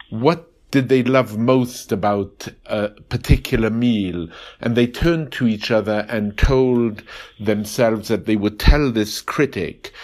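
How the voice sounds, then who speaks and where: A man hosting an interview podcast, asking long, meandering, thoughtful questions. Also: on the low side. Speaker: podcast host. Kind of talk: interview on a phone call.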